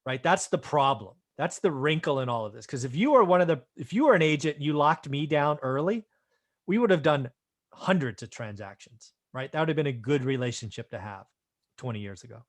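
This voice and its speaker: A man's voice: medium pitch, expressive, fairly quick. A man, a real estate investor and host, giving conversational business commentary in an explanatory, affirming way, often checking in with a short question.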